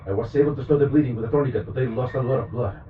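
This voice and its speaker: A man playing a calm, caring field medic who reassures a patient in an intimate audio roleplay. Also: mid-range voice, rapid-fire, narrow, tinny audio, slight background noise, lightly acted. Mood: urgent, alarmed. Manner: gentle, reassuring, matter-of-fact, addressing a patient directly.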